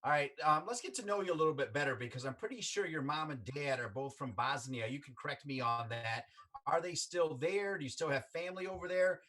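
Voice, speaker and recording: A man's voice: fairly high pitch, brisk, a bit bright. Upbeat male sports interview host.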